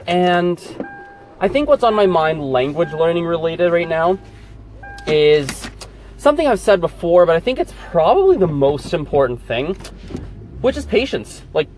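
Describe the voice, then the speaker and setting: A man's voice: high voice, slight background noise. A man hosting a podcast in casual chat, talking into a phone while getting ready and then driving in a car, rambling as he goes. His first language is Gujarati. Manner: rambling, talks while on the move. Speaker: podcast host.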